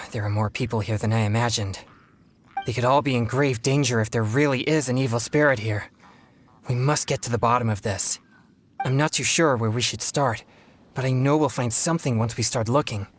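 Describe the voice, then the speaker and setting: An actor playing an earnest, polite young exorcist in a video game, speaking in a formal, measured, self-controlled way. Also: male voice, medium pitch, a little hiss or hum, close to an everyday voice. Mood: earnest, pensive.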